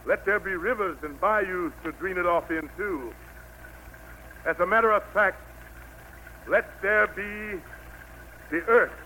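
A man's voice: high-pitched, slow pace, pauses often, pushed and bright, exaggerated voice. An actor playing God as a genial, fatherly country patriarch with a Southern US accent and a folksy, commanding manner in an old radio play. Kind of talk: 1940s radio play acting. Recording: noisy old radio recording.

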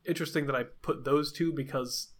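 A man's voice: on the high side, steady tone, a little fast. A man co-hosting a podcast, talking casually and hesitantly with many restarts, a little sniffly from a cold. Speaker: podcast co-host.

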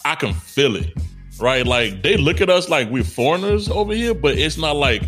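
A man's voice: fairly high pitch, sing-song, normal speed, faint music behind. A young man, co-hosting a casual talk show, talking loosely with lots of fillers, restarts and "you know what I'm saying". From United States. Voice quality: strong, loud voice. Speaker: podcast co-host. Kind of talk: casual conversation.

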